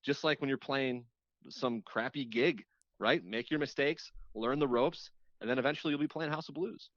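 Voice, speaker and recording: A man's voice: mid-range voice, normal speed, dry recording. Man chatting reflectively, slightly dull sound. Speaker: radio host appearing as a podcast guest. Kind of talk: casual conversational interview.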